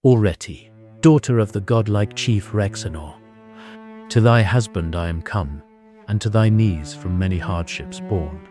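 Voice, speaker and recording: Actor as weary hero pleading over string music. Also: male voice, on the low side, a little slow, dark tone, natural voice. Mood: solemn pleading. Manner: solemn, imploring, formal. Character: weary wandering hero king, humble suppliant. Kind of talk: dramatized epic audiobook acting. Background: orchestral string music.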